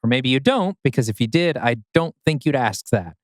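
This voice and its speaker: A man acts out a troubled father in his thirties in an audio drama reading. His delivery is animated and intense, pressing with bitter, pointed questions in a close, dry recording. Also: mid-range voice, normal speed, dry recording, natural voice. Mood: bitter, accusing. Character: anguished 39-year-old father, bitter and accusing.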